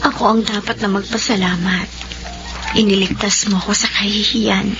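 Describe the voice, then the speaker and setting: A woman's voice: mid-range voice, fairly even intonation, normal speed, lo-fi sound, over music, lightly acted. A woman playing a dutiful yet wounded young bride in a romantic radio drama. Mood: grateful, hesitant. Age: young adult.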